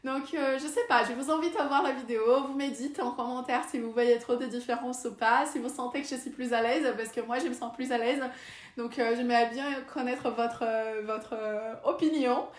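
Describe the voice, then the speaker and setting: A woman's voice: fairly high pitch, steady tone, moderate pace. Brazilian woman speaking French, echoey room. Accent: Brazilian Portuguese. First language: Portuguese. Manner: hesitant, many fillers and restarts. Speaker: vlogger. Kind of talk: casual talk to camera.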